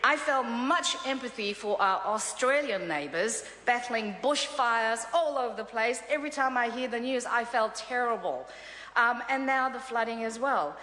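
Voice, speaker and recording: A woman's voice: on the high side, moderate pace, sharp tone. A middle-aged woman, a native Korean speaker, giving an upbeat parliamentary speech in a large chamber, with frequent filler sounds. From South Korea. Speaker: member of parliament. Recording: echoey chamber with long reverb.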